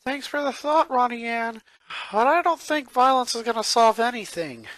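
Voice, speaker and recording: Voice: medium pitch, expressive, moderate pace, fairly bright tone, dry recording, voice pushed up, close to an everyday voice, poor audio. A narrator voicing a weary, frustrated preteen boy in a cartoon fan-fiction reading. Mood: doubtful, weary.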